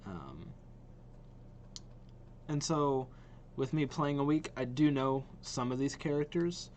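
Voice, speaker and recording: Male reaction vlogger: mid-pitched, slow-paced, informal and enthusiastic, slightly noisy recording. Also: lively intonation, darkish tone. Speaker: video host reacting to game trailers. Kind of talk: casual reaction vlog chat.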